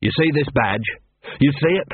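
A man voicing a gruff, sardonic city watch commander, demanding and drily menacing, in an audiobook. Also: medium pitch, moderate pace, narrow, tinny audio, lightly acted.

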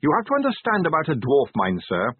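A man's voice: a little high, wildly swooping pitch, fairly quick, breathy edge, no room sound, phone-quality sound, pitched above the performer's own voice, exaggerated voice. A man voicing an earnest, literal-minded young male captain in a storybook reading.

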